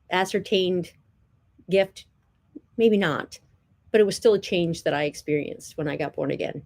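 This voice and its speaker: A woman's voice: mid-range voice, a little mellow. A middle-aged woman from New Jersey, talking in a casual, earnest testimony to the camera, chatty and repeating herself with many "um"s. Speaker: vlogger.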